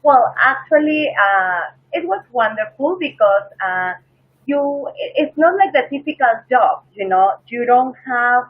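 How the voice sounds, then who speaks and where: A woman's voice: sits high, animated, a little slow, breathy edge, narrow, tinny audio. A woman from Peru, a guest in an online interview, telling stories in a chatty way with many fillers. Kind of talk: interview over a video call.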